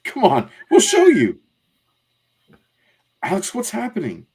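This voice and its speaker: A man voicing a lively, bossy school-age girl from a comic, in an exclamatory manner. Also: high-pitched, takes it slowly, husky, voice pushed up, exaggerated voice. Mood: urgent.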